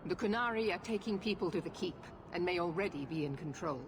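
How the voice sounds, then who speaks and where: A woman's voice: fairly low pitch, animated, fast, background noise, close to an everyday voice. An actress playing a stern, imperious female knight commander with an authoritative, cold and clipped manner. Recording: game audio with music behind.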